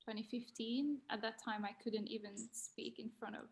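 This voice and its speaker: A woman's voice: a little high, steady tone, moderate pace. A young woman speaking English as a second language, candid and a little hesitant as she reflects on a panel over a video call. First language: not English. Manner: hesitant, candid, self-deprecating. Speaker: design graduate panelist.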